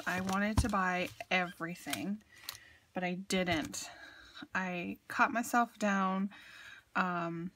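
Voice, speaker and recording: A woman's voice: medium pitch, a bit bright. A woman with a sniffly, stuffed-up voice, chatting casually and gushing as she shows off craft supplies on camera, with a lot of ums. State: sniffly from allergies. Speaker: craft haul vlogger. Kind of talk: casual show-and-tell.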